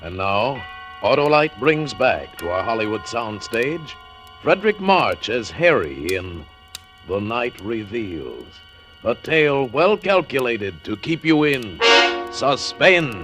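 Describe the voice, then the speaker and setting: Old radio announcer guy, super slow and dramatic, voice rises and falls, music under him. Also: medium pitch, very animated, a bit bright. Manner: slow, dramatic, emphatic delivery. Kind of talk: formal 1940s radio announcing.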